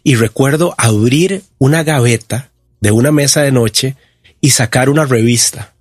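A man in his fifties with a Costa Rican accent, giving personal testimony as an interview guest in a reflective, halting way. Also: mid-range voice, a little mellow, no echo, clean studio sound. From Costa Rica. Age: 50s.

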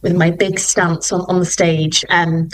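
A woman's voice: on the low side, level tone, a little slow, poor audio. A young woman, a musical theatre singer, talking enthusiastically in an interview and often restarting her sentences. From Wales. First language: Welsh.

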